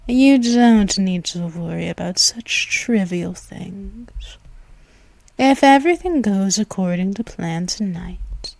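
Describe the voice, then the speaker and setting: A woman voicing a seductive, dominant female vampire in an ASMR roleplay, speaking in a slow, purring, whispery, teasing way. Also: medium pitch, darkish tone, no room sound. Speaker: audio roleplay performer.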